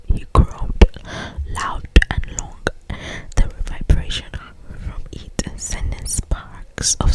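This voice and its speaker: A woman reading a story for ASMR in a breathy, intimate, drawn-out whisper. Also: rough recording. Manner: slow, intimate, drawn-out delivery. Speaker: ASMR reader.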